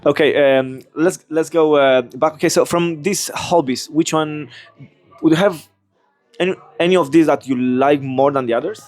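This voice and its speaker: A man's voice: a little high, normal speed, a little mellow. A man interviewing someone, asking questions hesitantly with many fillers.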